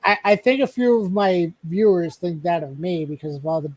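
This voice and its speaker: A man's voice: high voice, fairly even intonation, normal speed, a little mellow, rough recording. A man hosting a casual livestream chat, joking around, laughing often and stumbling over his words. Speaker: streamer host.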